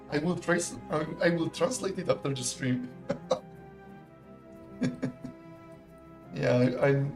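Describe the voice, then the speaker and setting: A young man, commentating a live strategy game in a relaxed, chatty, analytical way and laughing often. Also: on the high side, takes it slowly, pauses often, music in the background. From Poland. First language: not English. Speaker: esports caster.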